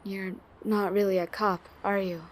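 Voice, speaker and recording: A woman's voice: medium pitch, level tone, a little slow, slight background noise, natural voice. A woman playing a firm, pleading officer confronting a colleague in an audio crime drama. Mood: confused, hurt. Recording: faint music behind.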